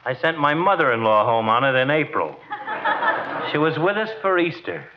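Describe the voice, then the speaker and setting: A man's voice: on the high side, sing-song, normal speed. An actor playing a folksy, skeptical small-town everyman in a 1940s radio comedy, blunt and plain-spoken, on a muffled, noisy broadcast.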